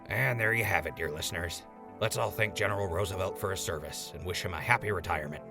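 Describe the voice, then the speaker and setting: Deep, gravelly-voiced chipper male wasteland radio host with wry announcer patter, over music, acted by a man. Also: quick pace. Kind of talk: audio drama radio show.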